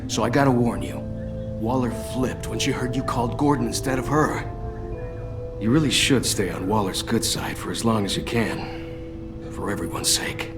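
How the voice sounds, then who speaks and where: An actor playing a loyal, guilt-ridden American government agent in a video game, earnest and informal. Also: male voice, medium pitch, moderate pace, lightly acted. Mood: earnest, cautioning. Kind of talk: dramatic video game acting. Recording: music under the voice.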